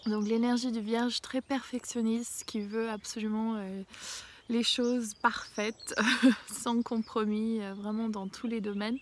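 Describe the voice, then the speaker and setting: A woman with a head cold doing a casual spiritual card reading outdoors. She pauses often with fillers and sometimes drops to a hushed near-whisper. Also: a little high, flat delivery, slight background noise. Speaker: oracle card reader. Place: outdoors in a small mossy spot with violets.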